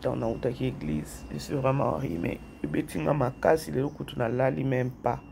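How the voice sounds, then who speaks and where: A man's voice: medium pitch, lively intonation, brisk, vocal fry, darkish tone, a little hiss or hum. A man who has a head cold, hosting a chatty commentary vlog, repeating phrases and talking straight to his viewers. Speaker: YouTube channel host. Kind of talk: casual commentary vlog, mixing French and Lingala.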